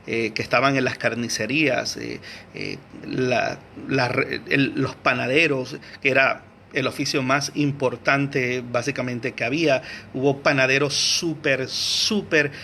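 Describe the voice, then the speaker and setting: Bright-voiced man lecturing with many fillers. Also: medium pitch, steady tone, measured pace, a little hiss or hum. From Venezuela. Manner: hesitant, many fillers and restarts, searches for words; clears his throat often. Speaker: teacher. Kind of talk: unscripted history lecture.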